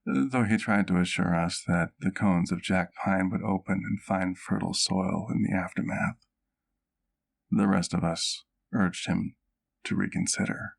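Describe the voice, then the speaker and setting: Man acting a slow, low-voiced, gentle vampire doctor. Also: vocal fry, exaggerated voice. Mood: solemn storytelling. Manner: soft, measured, careful, reassuring. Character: gentle, protective male vampire doctor and housemate. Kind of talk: ASMR roleplay acting.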